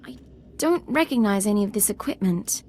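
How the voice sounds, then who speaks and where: A woman's voice: mid-range voice, lively intonation, a little fast, very noisy, natural voice. A woman playing an earnest, uneasy military subordinate in a video game, hesitant and deferential. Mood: uncertain. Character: earnest, uneasy young female Imperial subordinate. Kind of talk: scripted video game dialogue.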